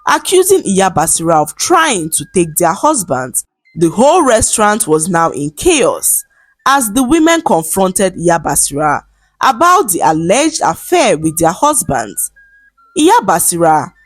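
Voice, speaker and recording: A woman's voice: mid-range voice, no room sound. Female folktale narrator. Dramatic, very melodic delivery, dry close sound over faint music. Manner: expressive, dramatic storytelling delivery. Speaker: storyteller.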